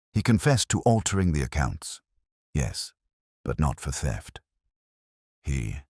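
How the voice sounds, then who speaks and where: A man giving scripted first-person detective narration in a measured, reflective, solemn manner. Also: a bass voice, a little mellow, dry recording, clean studio sound.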